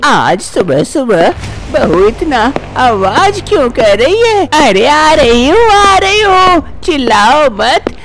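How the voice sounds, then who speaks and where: A woman's voice: high-pitched, big pitch swings, slow, a little hiss or hum, pitched above the performer's own voice, exaggerated voice. A woman doing a fussy, fretful old mother-in-law. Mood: fussing. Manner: scolding, exclaiming, fretful.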